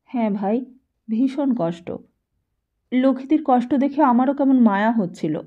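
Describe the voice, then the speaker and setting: A woman voicing a meek, earnest teenage boy who speaks gently and hesitantly in an audiobook story. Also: on the high side, moderate pace, lo-fi sound, lightly acted. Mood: sympathetic.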